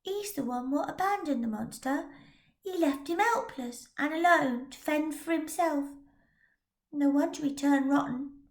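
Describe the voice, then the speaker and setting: A woman voicing a ragged little match girl in a storybook reading, soft and matter-of-fact. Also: high-pitched, a little slow, voice pushed up. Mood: grim.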